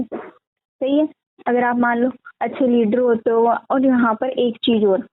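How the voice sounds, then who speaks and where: Woman pitching sales on a phone call, kinda high voice, keeps checking you agree, tinny sound. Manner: explanatory, often seeks agreement. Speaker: network marketing recruiter.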